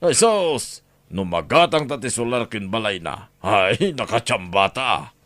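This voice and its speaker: A man's voice: lowish voice, very animated, unhurried, fairly bright tone, no room sound, faint music behind. Guy doing a sly greedy schemer, middle-aged, dry close mic, audio drama. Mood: scheming. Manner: sly, scoffing. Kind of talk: radio drama acting.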